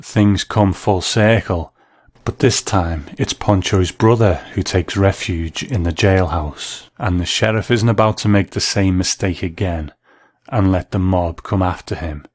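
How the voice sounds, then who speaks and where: Low-voiced man, dry studio commentary. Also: a little slow, husky, no room sound. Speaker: narrator reviewing classic television drama. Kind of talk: scripted commentary.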